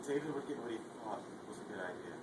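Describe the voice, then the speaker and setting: Steady stage narrator in an echoey hall. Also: mid-range voice, fast talker, background noise. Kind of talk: scripted narration in readers theatre.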